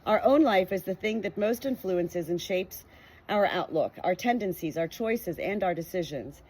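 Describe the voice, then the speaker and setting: A woman's voice: on the low side, animated, normal speed, a little mellow, breathy edge, rough recording. Earnest woman reading a faith book aloud. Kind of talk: reading a nonfiction book aloud with personal reflection.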